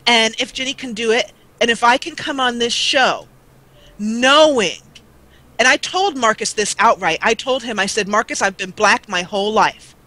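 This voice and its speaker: Bright-voiced middle-aged American woman. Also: a little high, moderate pace, a little hiss or hum, low-quality recording. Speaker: guest, gaming video creator. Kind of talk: live talk show conversation.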